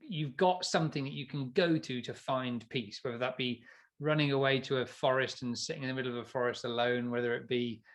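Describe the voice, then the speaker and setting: A man's voice: mid-range voice, steady tone, fairly quick. A man co-hosting a podcast, sounding stuffy and nasal from hay fever, rambling with stammered restarts and frequent laughter. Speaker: podcast co-host. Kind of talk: casual chat.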